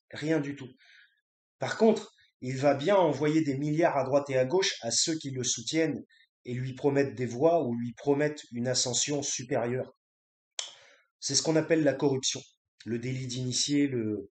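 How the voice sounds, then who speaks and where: A man's voice: mid-range voice, darkish tone, reverberant. A man ranting about politics in an unscripted monologue, indignant and repetitive, with many restarts and fillers. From grew up in France from age three. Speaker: vlogger.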